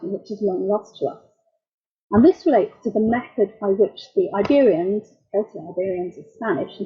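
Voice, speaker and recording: Husky-voiced woman giving a wry lecture. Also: medium pitch, dull audio. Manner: wry, explanatory, measured. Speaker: lecturer and translator. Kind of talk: academic talk.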